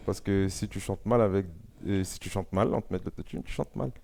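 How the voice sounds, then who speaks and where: A man's voice: deep voice, fairly quick, creaky, a little mellow. A man speaking as a guest in a casual discussion. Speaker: panel guest, music maker.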